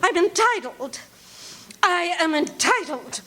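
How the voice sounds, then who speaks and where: A woman's voice: sing-song, slow pace, fairly bright tone, exaggerated voice. A woman playing a nervous, bedridden woman who pleads frantically and stammers through sobs in a live radio play. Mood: distraught. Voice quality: breathy, sobbing and stammering. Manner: frantic, self-pitying, shrill pleading. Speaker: lead actor in live stage radio play. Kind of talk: live radio drama reading. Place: large hall before live audience.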